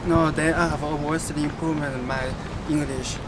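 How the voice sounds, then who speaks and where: A man with a slightly high voice reads his prepared statement plainly, with an occasional filler. Loud background noise sits under his words. The delivery is straightforward. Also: steady tone, measured pace. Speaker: English language student. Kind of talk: scripted personal statement.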